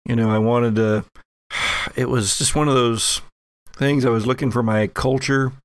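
A man's voice: mid-range voice, steady tone, measured pace, smooth, no room sound, natural voice. A man playing a wary, weary federal agent in an improvised tabletop horror game, talking in a hesitant, colloquial way that trails off. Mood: weary, frank. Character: weary male FBI agent Owl, wary, reluctantly practical. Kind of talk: improvised in-character tabletop dialogue.

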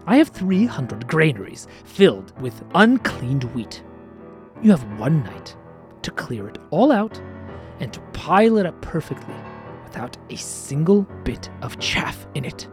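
A woman voicing a stern king of an underwater realm who speaks slowly and menacingly, sometimes dropping to a whisper. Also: on the high side, wildly swooping pitch, soft background music, full character voice.

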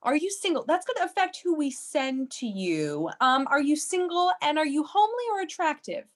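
Casting: woman playing bossy, prying female agency operator, high-pitched and animated, blunt improvised comedy delivery. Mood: chirpy, prying. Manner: brisk, interrupting, blunt.

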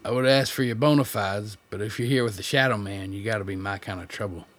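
A man's voice: on the low side, fast talker, a bit bright. An actor playing a mischievous, tough backwoods country man with folksy phrasing in a radio play. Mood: sly, welcoming.

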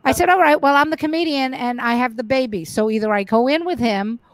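A middle-aged woman comedian with a fairly high voice tells her stories frankly and with plenty of swearing. She trips over her words and restarts them as she goes. The sound is close and dry. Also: a little fast, dry recording. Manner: frank, profane, many restarts. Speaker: comedian guest. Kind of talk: casual interview storytelling.

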